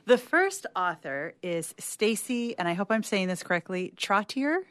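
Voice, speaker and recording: A woman's voice: medium pitch, sing-song, a little slow, fairly bright tone, smooth. A woman chatting casually as a guest reader on a radio show. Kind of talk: casual chat.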